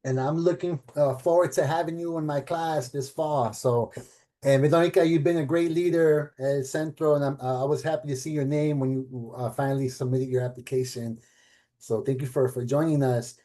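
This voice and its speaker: A middle-aged man talks loosely to a room as a program director. His voice is warm and mellow, and he leans on fillers and rhetorical "right?" questions. He lights up when he quotes others. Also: a little high, moderate pace. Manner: animated when quoting others. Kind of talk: casual talk at an event panel.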